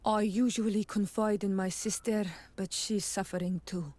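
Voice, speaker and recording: Female actor as grieving village woman, earnest, mellow timbre, medium pitch, game cutscene. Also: steady tone, normal speed, dry recording, close to an everyday voice. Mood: sorrowful. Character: grieving, earnest native woman, grateful friend. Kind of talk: video game cutscene acting.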